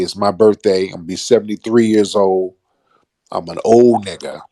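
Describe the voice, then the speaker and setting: A man's voice: low-pitched, a little mellow, no room sound. An American man hosting an online radio show, delivering a blunt, combative monologue in colloquial street slang with swearing and emphatic phrasing.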